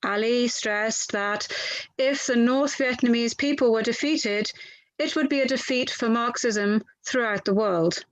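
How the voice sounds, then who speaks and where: A woman reading the part of an inquiry lawyer from a transcript, courteous and methodical, slightly halting. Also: a little high, close to an everyday voice, poor audio. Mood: polite, methodical. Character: formal, polite inquiry lawyer questioning a witness.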